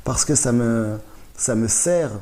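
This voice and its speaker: A man's voice: medium pitch, slow, mellow. A man, a comedian telling his life story as a guest in a casual interview, laughing often and restarting his sentences. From born in Rwanda, raised in Belgium. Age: 40s.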